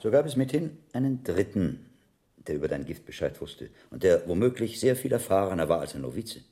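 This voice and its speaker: Shrewd monk detective, very low voice, dark and relaxed, measured, probing, radio play. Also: male voice. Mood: probing, calm. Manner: measured, probing, coolly reasoning. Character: shrewd, calm monk investigator, methodically questioning. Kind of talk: German radio drama acting.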